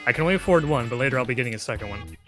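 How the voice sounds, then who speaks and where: Very fast-talking male speedrunner. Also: medium pitch, lively intonation. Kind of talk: casual live gameplay commentary. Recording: commentary over loud game music.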